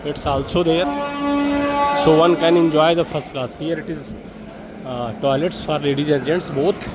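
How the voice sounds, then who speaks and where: A man's voice: fairly high pitch, steady tone, measured pace. A man with an Indian accent gives an informal walk-through commentary as a travel vlogger, explaining things in a repetitive, hesitant way. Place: public railway station, on the move. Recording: busy station crowd and vehicle noise.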